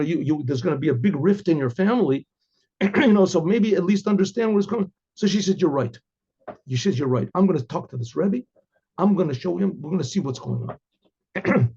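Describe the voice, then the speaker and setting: A man, a rabbi, telling religious stories informally in a rambling, conversational way, with hesitations, repetitions and frequent throat-clearing. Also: on the high side, dark tone. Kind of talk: informal oral storytelling with religious commentary. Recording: dull, lo-fi recording.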